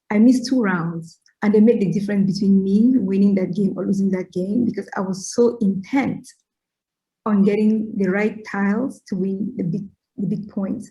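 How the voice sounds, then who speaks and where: A woman's voice: mid-range voice, mellow, rough recording. A middle-aged woman from Cameroon, answering interview questions in an earnest, reflective way and often checking in with "right?". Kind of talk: conversational Q&A talk, advice-giving.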